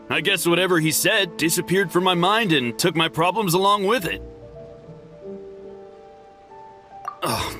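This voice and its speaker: A man's voice: fairly high pitch, pushed and bright, heavily acted. An actor playing a haughty, easily offended male client in a game who praises his counselor. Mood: touchy. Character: devoted male client, snobbish and touchy. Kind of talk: game voice acting.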